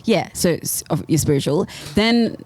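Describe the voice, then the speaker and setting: A woman's voice: lowish voice, lively intonation, normal speed, darkish tone. A woman co-hosting a podcast, chatting casually and explaining with lots of fillers. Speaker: podcast co-host. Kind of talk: casual banter.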